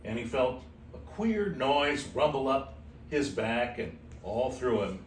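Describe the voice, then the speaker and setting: A man's voice: mid-range voice, very animated, takes it slowly. A man reading a children's book aloud as host, expressively, with casual asides to his listeners. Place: animal shelter room with kittens. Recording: echoey room, distant mic, faint noise.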